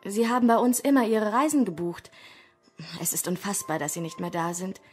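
Young woman, medium pitch, polite travel agent, radio play, faint music behind. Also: expressive, fairly quick, breathy edge. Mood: helpful, earnest. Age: young adult. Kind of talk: radio drama dialogue.

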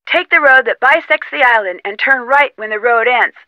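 A young American woman playing a plucky, determined detective in an adventure game, speaking earnestly and clearly. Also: fairly high pitch, moderate pace, sharp tone, no echo, rough recording. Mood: brisk, businesslike. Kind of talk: scripted game dialogue.

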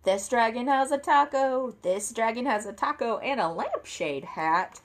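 A woman in her thirties reading a children's picture book aloud at home, expressively, with theatrical emphasis and deliberate phrasing. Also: a little high, lively intonation, background noise. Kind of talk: animated read-aloud to children. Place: indoor room at home, seated at a table.

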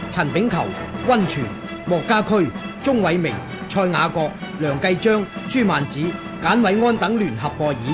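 Male radio announcer, high voice, reading credits over music.

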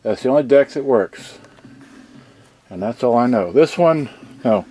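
A man giving an unscripted hands-on demonstration, speaking haltingly in short pieces with pauses, from behind a handheld camera indoors. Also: medium pitch, animated, mellow. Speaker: hobbyist presenter showing his boombox collection. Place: indoor workshop with shelves and benches.

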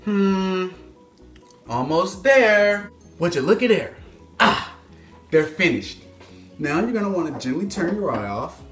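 A man's voice: high voice, sing-song, unhurried, long pauses, fairly bright tone, soft background music. A young man hosting a mock-serious home cooking video, playing the expert and joking with his viewers while he moves around the stove. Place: home kitchen, indoors. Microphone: phone camera on a stand, several feet away. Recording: echoey kitchen.

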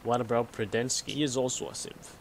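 A man playing a secretive, guarded synth copy of a Soviet spy in a game mod, explaining in a measured way. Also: mid-range voice, fairly even intonation, lightly acted. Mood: guarded, explanatory. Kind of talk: scripted game mod dialogue. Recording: noticeable background noise.

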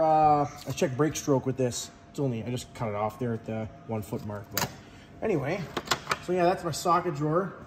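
A relaxed young man, his voice sitting a little high for a man. He rambles casually and swears offhandedly while showing off his tools, recorded on a phone held close in a big shop garage. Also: a little hiss or hum. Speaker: heavy diesel mechanic. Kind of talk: casual tool box tour.

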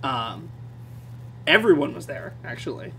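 An excitable man co-hosts a casual podcast and laughs often. His voice sits a little high. A faint hum runs underneath. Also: unhurried. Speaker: podcast co-host. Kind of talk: casual chat.

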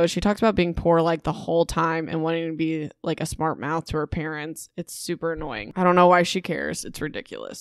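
A woman's voice: fairly low pitch, smooth, no echo, clean studio sound. A woman hosting a casual solo book recap, sounding a bit sick, informal and sarcastic with mild exasperation. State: sick with a cold-like illness. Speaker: podcast host.

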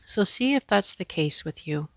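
Female yoga instructor for guided meditation; gentle, pausing delivery, noticeable vocal fry, very dry sound. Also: mid-range voice, lively intonation, dry recording. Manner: gentle, unhurried, with pauses.

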